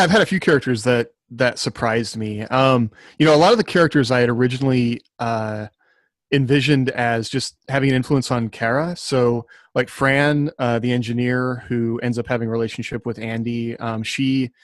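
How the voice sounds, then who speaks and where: A man with an average-pitched voice talks casually as an author on a panel. He rambles and thinks aloud, dropping in "like" and "you know". The sound is close and dry. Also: dry recording. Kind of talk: casual discussion.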